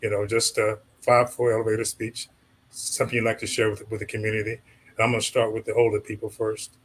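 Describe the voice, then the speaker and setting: Male moderator, lowish husky voice, easygoing, hands off to the panel. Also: completely flat delivery, fast talker, a bit bright, rough recording. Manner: conversational, directs questions to panelists. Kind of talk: panel discussion.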